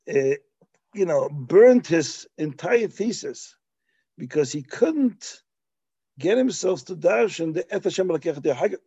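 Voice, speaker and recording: Man teaching Talmud in a dark, husky voice. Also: high voice, no echo, highs cut off. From Belgium. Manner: explanatory, with Hebrew and Yiddish terms and frequent restarts. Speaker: Torah teacher. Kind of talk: Talmudic lecture.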